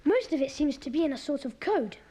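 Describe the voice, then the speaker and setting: A woman playing an eager, adventurous schoolboy in a children's audio drama, earnest and excitable, on a noisy cassette recording. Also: high-pitched, fairly quick. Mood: breathless, excited.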